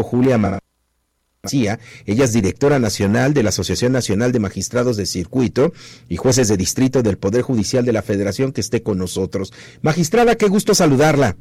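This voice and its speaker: A man from Mexico hosts a radio interview, putting pointed questions to his guest and pushing his pitch up when he presses a point. His voice is warm and dark, recorded dry and close in the studio. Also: slightly rough, no room sound. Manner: pointed, questioning, rising on emphasis. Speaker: radio host.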